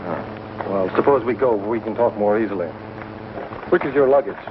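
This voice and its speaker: Actor as a courteous low-voiced frontier gentleman. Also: a little fast, natural voice. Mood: polite, surprised. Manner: polite, earnest, well-spoken. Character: courteous, educated mining-town mill superintendent. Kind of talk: 1950s TV western acting. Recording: noisy, muffled old TV soundtrack.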